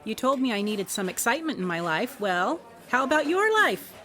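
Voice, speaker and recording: A woman's voice: on the high side, very animated, fairly quick, fairly bright tone. An actress playing a shrewd, protective, commanding woman investigator in a contemporary radio drama, speaking firmly and directly. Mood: determined, scheming.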